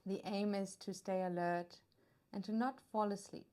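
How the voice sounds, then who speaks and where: A woman guiding a meditation as a yoga nidra teacher, soothing and unhurried, leaving long pauses between her phrases. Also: medium pitch, fairly even intonation, dark tone. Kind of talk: guided meditation narration.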